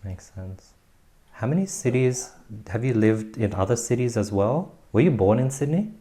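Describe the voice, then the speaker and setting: A man's voice: medium pitch, lively intonation, normal speed, mellow. A man hosting a casual conversational interview, asking short, informal questions. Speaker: podcast host, interviewer.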